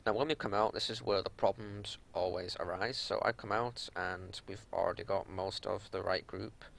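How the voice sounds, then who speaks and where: A man giving unscripted gameplay commentary as a raid leader, explaining tactics in a matter-of-fact way over a home recording with some low rumbling noise. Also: fairly even intonation, normal speed, creaky, fairly bright tone.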